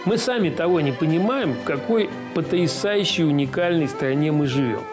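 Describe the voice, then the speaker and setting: A man's voice: fairly high pitch, animated, moderate pace, music in the background. A man in his sixties giving an interview in an ornate room, talking hesitantly with many filler sounds. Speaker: interviewee, producer. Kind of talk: interview recollection.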